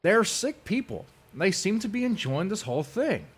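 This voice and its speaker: A man voicing a shrill, aggrieved, mocking rival in an exaggerated, sneering dramatic reading, his voice raised and strained. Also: very animated, normal speed, slightly rough, exaggerated voice.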